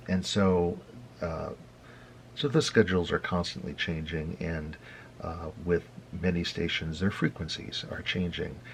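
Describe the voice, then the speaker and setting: A low-pitched American man presents an informal explainer in a conversational, slightly halting style. His delivery has a wry edge. Some background noise sits faintly behind the deep voice. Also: vocal fry. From United States. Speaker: host of a hobby radio tutorial.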